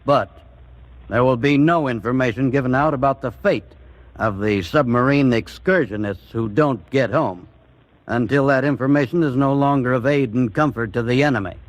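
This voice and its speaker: An actor playing a confident, wry naval spokesman giving a measured official statement in a radio drama. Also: medium pitch, no room sound, dull audio, close to an everyday voice. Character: confident, dry-witted American naval spokesman at press conference.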